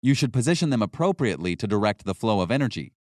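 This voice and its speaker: Man narrating with lively, varied intonation. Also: lowish voice, quick pace, no echo, studio quality. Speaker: audiobook narrator. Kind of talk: scripted nonfiction audiobook narration.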